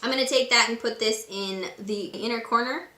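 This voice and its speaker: A woman with a raspy voice today, casually talking through a makeup tutorial at home. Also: on the high side, moderate pace, roomy sound, loud music behind the voice. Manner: chatty, relaxed and instructive, with frequent fillers. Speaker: beauty vlogger. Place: room at home.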